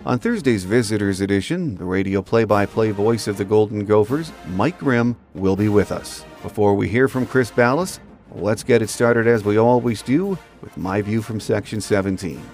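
A man's voice: fairly low pitch, lively intonation, normal speed, hardly any pauses, darkish tone, faint music behind. An American man hosting a sports podcast, delivering scripted commentary as a monologue. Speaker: podcast host.

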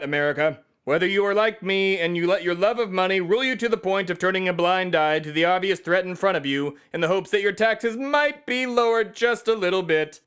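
A man's voice: sits high, a bit bright, clean tone. A man playing America itself as a bombastic, self-important patriotic orator on a satirical comedy podcast. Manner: pompous, declamatory oratory.

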